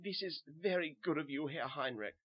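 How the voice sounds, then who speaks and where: A man's voice: fairly high pitch, expressive, normal speed, slightly rough, lo-fi sound. A man playing a weary, haunted German soldier who narrates a horror tale in simple short sentences, with a German accent. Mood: subdued. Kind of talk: first-person horror audio narration.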